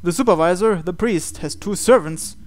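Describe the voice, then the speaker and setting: A man voicing a sinister, scheming old sorcerer from a visual novel, speaking in a deliberate, cold and formal way. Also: high voice, lightly acted. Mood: smug, calculating. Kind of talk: visual novel read-aloud.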